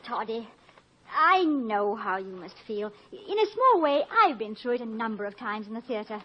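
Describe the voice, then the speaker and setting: High-voiced, witty British wife. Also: female voice, sing-song, normal speed. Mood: tender, wry. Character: college president's devoted wife, witty former actress, tender. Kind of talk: 1950s American radio comedy-drama acting. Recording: muffled old radio recording.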